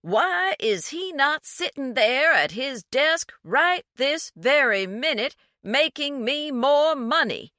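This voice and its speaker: Woman reading as a rich snob man, loud, elongated drawl, condescending, lively pitch. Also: very slow, hardly any pauses, dry recording, clean studio sound, heavily acted. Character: man bluffing as a snobby rich client.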